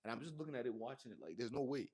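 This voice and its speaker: A young man from Nigeria with a Yoruba accent, telling a personal story to camera in a slangy, animated way with many fillers. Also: mid-range voice, rapid-fire, husky. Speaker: vlogger. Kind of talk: casual monologue to camera.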